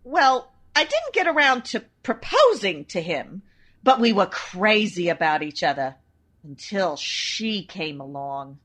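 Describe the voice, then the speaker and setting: A woman's voice: mid-range voice, very animated, unhurried, a bit bright. An actress playing a brash, lovesick young chorus girl in a comedic old-time radio drama, with slangy, melodramatic tough-dame talk.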